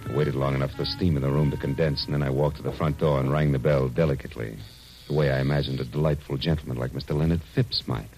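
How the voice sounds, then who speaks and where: An actor playing a cynical, tough-talking private detective in the wry, slangy manner of 1940s radio noir. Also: male voice, rumbling low, fairly even intonation, quick pace, low-quality recording. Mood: grim, wry narrating. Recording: old 1940s radio broadcast, music behind.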